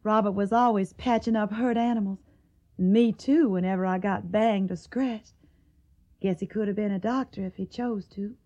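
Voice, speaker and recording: A woman's voice: mid-range voice, steady tone, normal speed, warm tone, highs cut off, lightly acted. A woman playing an earnest, emotional young woman in a radio drama. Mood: tender, concerned. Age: young adult. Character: earnest, tender young Southern woman in wartime.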